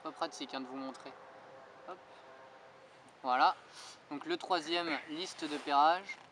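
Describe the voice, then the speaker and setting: Guy doing a casual biker tutorial, kinda high voice, self-mocking, lots of outdoor noise behind him. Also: measured pace, a bit bright. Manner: self-deprecating, joking, colloquial. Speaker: motorcycling vlogger presenting a tutorial. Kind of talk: informal spoken tutorial with casual banter. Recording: noisy outdoor recording with vehicle sounds.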